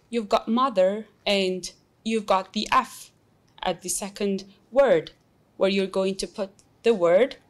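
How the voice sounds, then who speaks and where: A young woman with an Albanian accent teaching an English lesson, explaining slowly and patiently with many examples. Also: medium pitch. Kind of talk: recorded classroom lesson.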